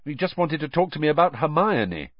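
A man's voice: a little high, very animated, a little fast, dry recording, highs cut off, voice pushed up. A man voicing an earnest teenage schoolboy in an audiobook, speaking plainly with mild, defensive protest.

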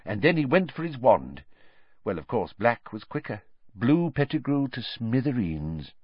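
A man's voice: mid-range voice, sing-song, a little slow, no echo, lo-fi sound, pitched above the performer's own voice. A man reading an audiobook and voicing a fussy, self-important middle-aged wizard minister who talks in a pompous, rambling way. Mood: grave. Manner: pompous, anecdotal, then evasive.

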